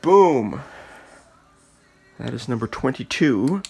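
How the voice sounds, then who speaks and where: Slow-talking man showing off glowing art. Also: mid-range voice, gravelly, soft background music. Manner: slow, muttering, then bursts of excited exclaiming. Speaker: artist showing his work. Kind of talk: casual off-the-cuff show-and-tell, partly whispered. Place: dark room lit by blacklight.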